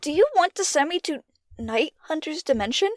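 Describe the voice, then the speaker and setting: A woman voicing an eager, curious young pony princess in an amateur cartoon audio drama, excitable and full of questions. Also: high-pitched, normal speed, no room sound, studio quality. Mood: excited. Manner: excitable, inquisitive.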